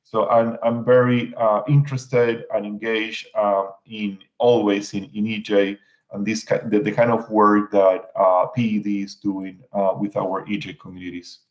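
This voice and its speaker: A man from Puerto Rico with a Puerto Rican Spanish accent, giving prepared panel remarks as an agency official, halting with fillers and restarts. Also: lowish voice, level tone. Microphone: video call. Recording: dull lo-fi video call audio.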